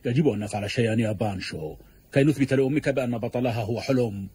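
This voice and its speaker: A man's voice: medium pitch, fast talker, dark tone, slightly rough, dry recording. A man voicing an urgent, plotting sidekick in a dubbed cartoon. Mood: conspiratorial.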